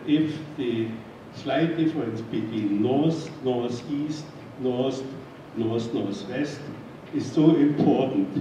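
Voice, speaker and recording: Slow-speaking German-accented man in echoey hall. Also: mid-range voice. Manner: hesitant, deliberate, questioning. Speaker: audience member. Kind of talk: public comment at meeting. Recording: very echoey theatre, distant, muffled, noisy.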